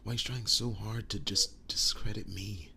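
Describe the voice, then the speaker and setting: A man playing a tender, wounded, earnest werewolf boyfriend in an intimate ASMR roleplay, breathy and often whispering, speaking in halting, soft reassurance. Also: fairly low pitch, fairly even intonation, noisy background. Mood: earnest, reassuring.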